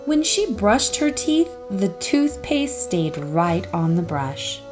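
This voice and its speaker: A woman narrating a children's storybook aloud in an expressive, careful storytelling manner. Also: mid-range voice, slow pace, music in the background.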